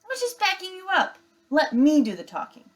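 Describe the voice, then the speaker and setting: A woman's voice: very high voice, huge pitch swings, brisk, pitched above the performer's own voice, full character voice. A woman voicing a grumpy young bear brother in a storybook, snappish and defensive.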